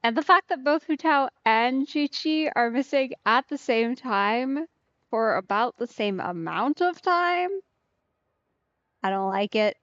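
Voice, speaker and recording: A woman's voice: fairly high pitch, lively intonation, slow, a bit bright, no room sound, muffled recording. A woman giving casual, chatty let's play commentary, reacting aloud as a game streamer.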